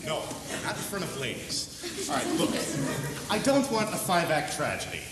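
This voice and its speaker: A man's voice: on the high side, huge pitch swings, normal speed. A man playing an exasperated, sarcastic theater director in a live amateur stage comedy. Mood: impatient. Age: teens or young adult. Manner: melodramatic, sarcastic, blustering. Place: high school theater stage. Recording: echoey theater from far off, audience noise.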